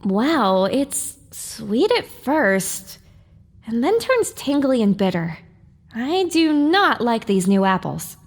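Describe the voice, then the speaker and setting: A sassy young pony heroine voiced by a woman in an audio drama, very high and hugely expressive. She takes her time over each exaggerated, grumpy or thrilled reaction. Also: slow, exaggerated voice. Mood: wry.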